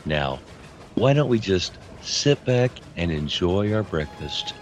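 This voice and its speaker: A man's voice: deep voice, sing-song, slow, soft background music, full character voice. A man playing a mysterious, compassionate harlequin spirit in an audio fantasy drama, courteous and enigmatic. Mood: polite, evasive.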